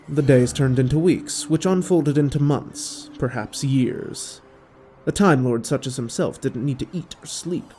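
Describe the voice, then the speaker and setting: A man narrating a scripted audio drama as a measured, dramatic storyteller. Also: mid-range voice, dark tone, slight background noise.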